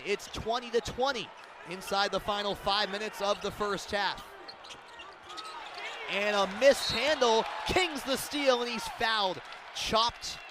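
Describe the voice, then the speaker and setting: A high-voiced male radio announcer delivers live basketball play-by-play in an excitable manner. Clear arena noise and bouncing balls sit behind him as he follows the action. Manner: excitable, building to loud calls on big plays. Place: courtside in a large indoor arena. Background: arena ambience, basketball bounces.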